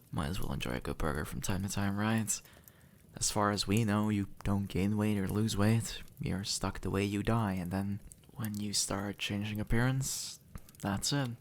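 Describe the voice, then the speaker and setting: A man plays an easygoing demon, centuries old and once human, in an intimate ASMR roleplay. His voice sits low with a heavy creak, and he takes his time. He talks casually and gently, reassuring with a teasing streak. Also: unhurried. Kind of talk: intimate ASMR audio roleplay, addressed to the listener.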